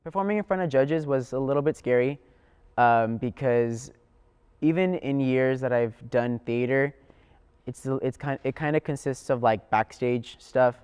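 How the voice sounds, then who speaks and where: A man's voice: mid-range voice, a little slow, a little mellow, smooth. A teenage young man, a student actor, giving casual answers in an interview. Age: teens.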